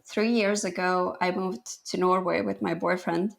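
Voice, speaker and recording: A woman's voice: on the low side, fairly even intonation, unhurried, gravelly. A woman in her twenties from Latvia, a guest photographer telling her story in a modest, laughing way. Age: 20s. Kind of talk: casual interview chat.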